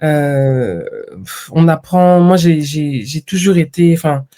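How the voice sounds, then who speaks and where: A woman from France, a podcast guest talking reflectively in a conversational interview, with lively quoted asides. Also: low voice, takes it slowly.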